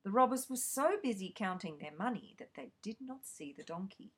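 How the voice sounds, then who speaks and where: A woman reading a children's fairy tale aloud, slow and expressive. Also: mid-range voice, slightly rough. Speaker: storyteller.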